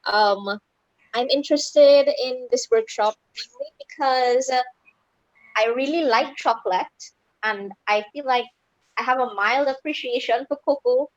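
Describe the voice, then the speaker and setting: A woman's voice: high-pitched, a little slow, no echo, low-quality recording. A woman introducing herself casually on a group video call. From Trinidad.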